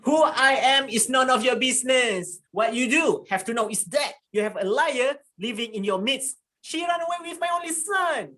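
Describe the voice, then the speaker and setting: An actor playing an angry, threatening estranged husband, commanding and confrontational, in a dramatised story reading. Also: male voice, very high-pitched, expressive, moderate pace, hardly any pauses, sharp tone, exaggerated voice.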